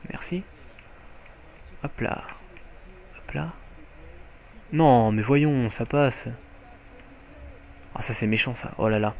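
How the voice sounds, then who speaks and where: A young man with a French accent giving live commentary on his own online match from home, excitable and jokey, swearing often. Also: mid-range voice, slow, long pauses. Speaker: gaming commentator. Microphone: headset mic.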